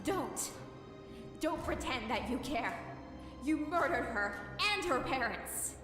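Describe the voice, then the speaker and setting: A woman plays a protective pony heroine in an audio drama, fierce and full of grief. Her voice is fairly high and accusing. It sounds distant, in a large reverberant hall over music. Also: animated, takes it slowly. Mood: defiant, grieving. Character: defiant young female pony heroine, protective and grieving. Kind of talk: scripted audio drama acting.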